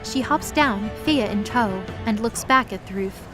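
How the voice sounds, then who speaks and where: A woman's voice: on the high side, measured pace, music in the background. A woman narrating a scripted audio drama in the present tense as its storyteller.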